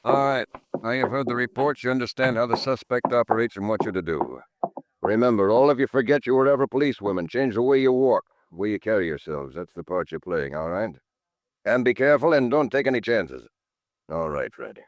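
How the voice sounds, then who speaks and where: A man playing a stern, brisk police skipper giving orders in a 1950s radio crime drama. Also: medium pitch, lively intonation, no echo, close to an everyday voice. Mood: instructing, stern. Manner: brisk, authoritative.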